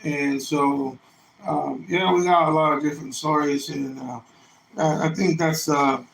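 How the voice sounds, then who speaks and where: A man's voice: on the high side, fairly even intonation, unhurried, vocal fry, a bit bright. A middle-aged man chatting casually as a ham radio operator, telling anecdotes and explaining things. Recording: roomy echo with faint noise.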